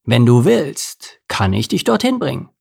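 A raised, bouncy voice for a cheeky eel in a children's story, brash, teasing and full of swagger, as a man reading aloud puts him on. Gravel and a husky grain run underneath. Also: mid-range voice, sing-song, a little slow, darkish tone, pitched above the performer's own voice, exaggerated voice.

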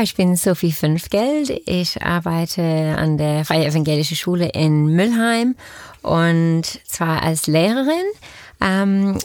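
A woman's voice: fairly low pitch, no echo, clean studio sound. Hesitant woman with an Australian accent. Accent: Australian English. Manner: hesitant, many fillers, non-native grammar. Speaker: teacher. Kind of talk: casual talk show chat.

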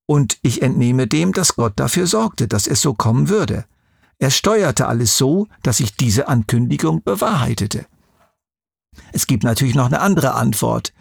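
A man explaining things to a child in a conversational way, as the expert, with measured, clearly structured answers. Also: medium pitch, sing-song, dry recording, studio quality.

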